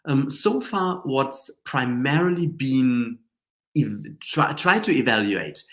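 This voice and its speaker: A man's voice: medium pitch, expressive, slow pace. A man with a cold and a slightly nasal voice, hosting a live stream in a chatty, rambling way with many fillers and restarts. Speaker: Reiki teacher and show host. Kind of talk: casual live-stream talk.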